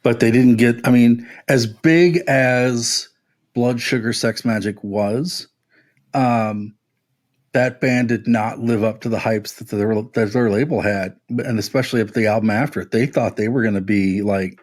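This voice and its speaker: A man's voice: mid-range voice, steady tone, unhurried, a little mellow. A man co-hosting a music podcast, talking casually and giving blunt, wry, emphatic opinions.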